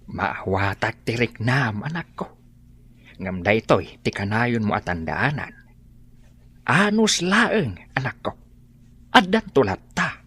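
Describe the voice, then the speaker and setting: An actor playing an aging, gentle father who speaks tenderly and encouragingly in a radio drama. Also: mid-range voice, sing-song, slow pace, pauses often, husky, exaggerated voice. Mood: tender, consoling.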